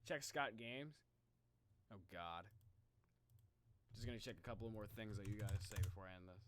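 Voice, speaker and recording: A man streaming a casual reaction, thinking out loud in slangy talk and addressing his viewers directly. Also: on the low side, moderate pace, a little hiss or hum. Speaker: streamer, video host. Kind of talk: casual livestream reaction chat.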